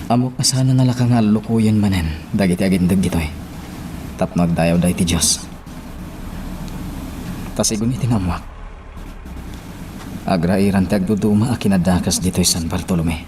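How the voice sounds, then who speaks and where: An earnest, low-voiced young parish priest. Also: male voice, background noise. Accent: Ilocano Filipino. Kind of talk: radio drama acting in Ilocano. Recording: music bed behind the voice.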